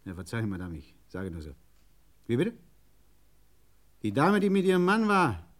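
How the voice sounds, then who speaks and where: An actor playing an excitable, blunt garage mechanic on the telephone in an old radio crime play, blustering yet polite. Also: male voice, medium pitch, normal speed. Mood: grumbling.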